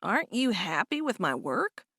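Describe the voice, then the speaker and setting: A woman's voice: medium pitch, very animated, normal speed, bright, forceful tone, slightly rough, dry recording, full character voice. A woman reading aloud and voicing a polite young male farmhand who is eager to please, close to her own voice.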